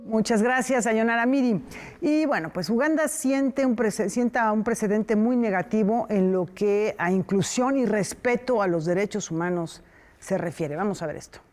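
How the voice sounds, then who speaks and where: A woman's voice: medium pitch, normal speed. Woman news anchor linking stories.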